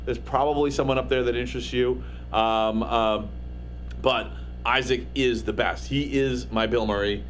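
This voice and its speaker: A man's voice: medium pitch, fairly even intonation, normal speed, slight background noise. A man hosting a casual tech podcast, rambling with many ums and jokey asides, speaking into a tabletop mic. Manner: rambling, full of ums and jokes. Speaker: podcast host. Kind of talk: casual chat.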